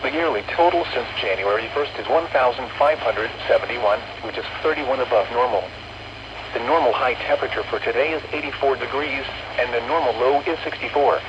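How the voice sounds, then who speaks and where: A computer-generated man's voice reading an automated weather report in an even, measured way. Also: mid-range voice, dull audio. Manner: even, measured synthesized delivery. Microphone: captured off an over-the-air radio receiver. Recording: hissy distant weather radio reception.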